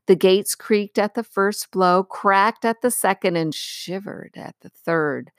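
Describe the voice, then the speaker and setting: A woman's voice: mid-range voice, slow, no echo, studio quality. A woman reading a children's story aloud as scripted narration. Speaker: audiobook reader.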